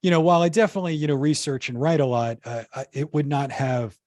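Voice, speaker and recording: A man's voice: fairly high pitch, fairly even intonation, fast, darkish tone, dry recording, clean studio sound. A man, a podcast guest, telling history in a casual, rambling way full of fillers and restarts. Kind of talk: casual conversational storytelling.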